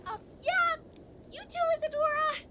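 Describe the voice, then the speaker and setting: A woman's voice: very high voice, normal speed, very noisy. A woman playing a timid, bookish young male mountain troll, hesitant and earnest, in a family audio drama. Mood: flustered.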